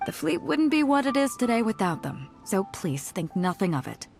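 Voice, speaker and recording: Animated, commanding woman ship's captain. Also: fairly high pitch, moderate pace, gravelly, faint music behind. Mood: friendly, assured. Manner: breezy, commanding. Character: confident, fair-minded female ship's captain. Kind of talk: video game voice acting.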